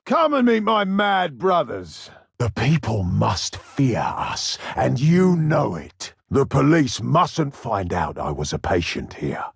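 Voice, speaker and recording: Sadistic serial killer, video game villain, gravelly, wildly swooping pitch, theatrical, menacing, taunting. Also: male voice, exaggerated voice. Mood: grandiose, menacing. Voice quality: raspy, gravelly. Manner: taunting, theatrical, drawn-out delivery.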